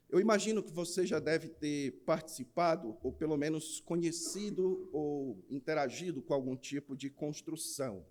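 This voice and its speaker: A man with a Northeastern Brazilian accent preaching in church, opening with a jokey rhymed verse before an earnest sermon. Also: on the high side. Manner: jokey rhyming recitation, then steady earnest preaching. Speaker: pastor. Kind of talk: sermon with a humorous rhymed recitation.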